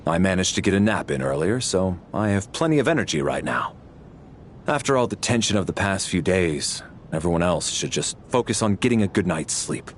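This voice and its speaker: Game role: reserved, protective male fighter, lowish register, expressive intonation. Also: fairly quick, slight background noise. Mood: calm, firm. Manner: reserved, formal. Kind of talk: video game dialogue acting.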